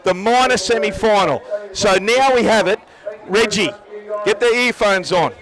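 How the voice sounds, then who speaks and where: An Australian man commentating a rugby league match live, excitable and repeating himself, nearly losing his voice. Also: high voice, measured pace, a crowd in the background, low-quality recording. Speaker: sports commentator.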